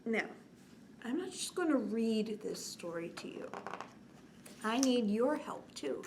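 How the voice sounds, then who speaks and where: A woman leads a bedtime story time for small children in a fairly high voice. She speaks slowly and expressively, stretching words and often softening to a hush. There is clear background noise in the recording. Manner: expressive, drawn-out words, often dropping to hushed whispery tones. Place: indoors, small curtained set.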